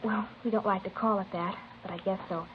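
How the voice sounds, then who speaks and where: A woman's voice: medium pitch, steady tone, fairly quick, close to an everyday voice. Hesitant teenage girl on tinny old radio, woman acting. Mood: guarded, casual. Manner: tentative, plain-spoken. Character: hesitant, guarded teenage high school girl. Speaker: actor playing a witness. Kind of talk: 1950s radio drama acting, naturalistic. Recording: thin, noisy old radio recording.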